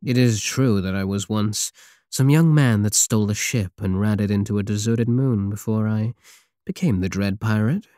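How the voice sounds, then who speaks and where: A man's voice: fairly low pitch, warm tone, no echo, clean studio sound, natural voice. A man playing a big, teasing, possessive space pirate king in an intimate, sardonic ASMR roleplay. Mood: cocky, teasing. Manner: slow, teasing, purring endearments, sardonic.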